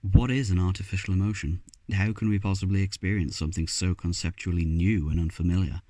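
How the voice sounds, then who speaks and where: A man hosting and narrating a scripted video essay, speaking in measured written prose. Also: sits low, fairly even intonation, dark tone, no echo. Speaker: video host.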